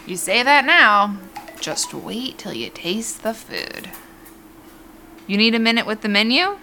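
A woman's voice: a little high, sing-song, unhurried, pushed and bright, slight background noise, exaggerated voice. A woman playing a brassy, teasing, folksy diner waitress in an audio drama, familiar in manner. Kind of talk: naturalistic audio drama acting.

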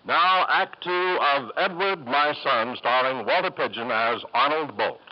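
A man's voice: mid-range voice, unhurried, slight background noise. An American man reading scripted 1950s radio narration as an announcer, formal and emphatic. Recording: dull lo-fi old radio broadcast.

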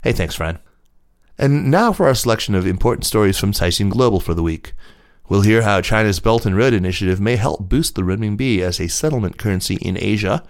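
A man with a low voice and a gravelly creak reads scripted business news as a podcast host. The sound is dry and close, with the delivery of prepared narration. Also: expressive, fairly quick, no echo.

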